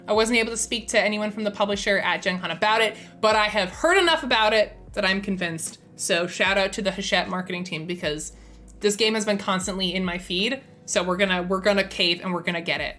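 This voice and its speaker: A woman's voice: medium pitch, brisk, sharp tone. Chatty woman streamer, slightly strained voice. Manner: chatty, enthusiastic, thinks aloud with fillers. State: slightly strained, losing her voice. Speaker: streamer and host. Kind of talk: casual live-stream chat.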